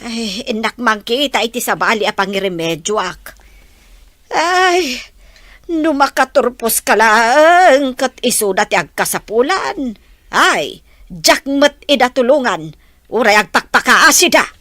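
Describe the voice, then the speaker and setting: Audio drama role: struggling village mother, played by a woman, patient, hesitant, high and very varied in pitch. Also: big pitch swings, a little slow, a bit bright, no room sound, studio quality. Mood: worried. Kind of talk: Ilocano audio drama acting.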